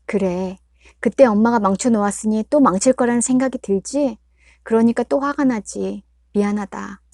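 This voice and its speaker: A patient, remorseful middle-aged mother, voiced by a woman reading aloud, gentle and apologetic, holding back her frustration. The reading is close and dry, with no room sound. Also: fairly high pitch, normal speed, no room sound, natural voice. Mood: placating. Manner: soft, apologetic, pleading.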